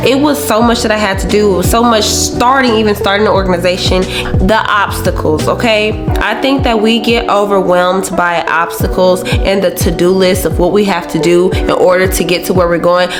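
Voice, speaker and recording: Young woman vlogger, raspy with a cold, chatty motivational talk over music. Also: medium pitch. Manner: chatty, keeps saying "y'all" and "okay". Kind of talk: casual motivational vlog. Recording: music in the background.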